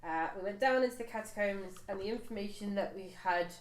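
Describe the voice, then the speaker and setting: A woman casually recapping a tabletop role-playing campaign for the group, pausing often with fillers and restarts. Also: mid-range voice. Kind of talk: casual chat around a tabletop game. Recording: echoey room, faint noise.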